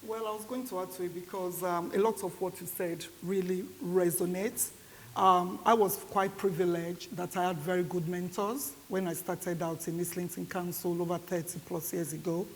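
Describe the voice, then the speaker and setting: A mature woman in her fifties or older speaks on a panel with a Nigerian accent. Her voice sits fairly low and she makes her points in a measured way. The hall rings around her, with audible hiss. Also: steady tone. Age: 50s or older. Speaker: conference panellist. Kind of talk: panel discussion remarks. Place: reverberant indoor event hall. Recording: echoey hall with clear background hiss.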